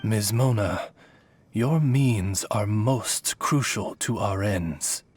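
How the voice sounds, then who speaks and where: A man's voice: on the low side, slow pace, darkish tone, faint music behind. A man voicing a courtly, solemn familiar, formal and deferential, in an anime-style video game.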